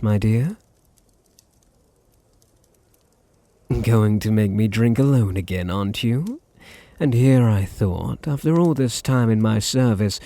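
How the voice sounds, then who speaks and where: Guy acting as a british vampire master, asmr roleplay, dark mellow husky voice, super close and dry, possessive n teasing, kinda menacing. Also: no echo, natural voice. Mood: teasing, condescending. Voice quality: deep, breathy, husky. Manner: languid, condescending, purring endearments.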